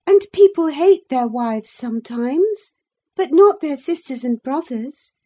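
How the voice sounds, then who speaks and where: A woman voicing a spirited, outspoken young lady in an audiobook, pert, emphatic and quick to anger. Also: sits high, expressive, mellow, pitched above the performer's own voice. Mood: earnest, affectionate. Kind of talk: dramatised audiobook reading of a classic novel.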